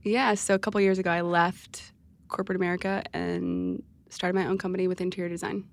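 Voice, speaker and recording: A woman's voice: on the low side, little pitch movement, brisk, vocal fry. A woman in her thirties from Texas, an interior designer, chatting playfully and modestly in a studio interview. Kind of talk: casual panel interview. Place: studio with mics.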